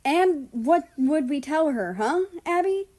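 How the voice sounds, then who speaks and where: Audiobook role: female guardian spirit, bitter and self-blaming, pitched up, snapping sarcasm played by a woman narrator. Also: expressive, slow, darkish tone.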